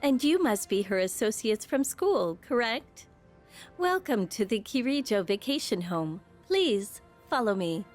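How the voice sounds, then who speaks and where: A woman's voice: fairly high pitch, very animated, unhurried, faint music behind. A woman playing a deferential housemaid in a video game, with a polite, formal manner. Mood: polite, welcoming.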